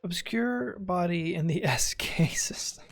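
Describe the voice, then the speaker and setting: Sarcastic gamer with a creaky voice. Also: male voice, high voice, animated, a little slow, soft background music. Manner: sarcastic, muttering asides. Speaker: gameplay streamer. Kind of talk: casual game commentary.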